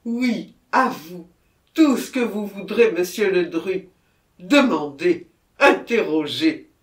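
A man's voice: high voice, lively intonation, takes it slowly, a bit bright, echoey room, heavily acted. A man voicing a terrified, anguished male murderer in an audiobook, pleading and repeating himself in exclamations.

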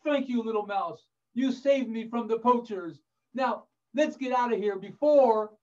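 A big storybook lion, acted out by a man, high and loud. He is dramatic and hot-tempered, roaring and wailing at full force in a children's tale. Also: measured pace, recorded from a distance, dull audio. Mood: grateful. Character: big angry lion, roaring and despairing when trapped.

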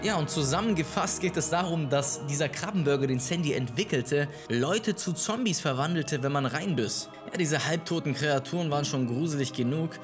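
Male YouTube narrator, 20s, higher pitch, scripted but casual, music underneath. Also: normal speed, hardly any pauses. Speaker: YouTube host. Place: small room at home. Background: background music.

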